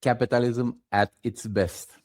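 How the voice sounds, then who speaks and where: A man's voice: mid-range voice, steady tone, measured pace, darkish tone. A man from Romania with a Romanian accent, co-hosting a casual podcast and adding skeptical, wry asides. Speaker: podcast co-host.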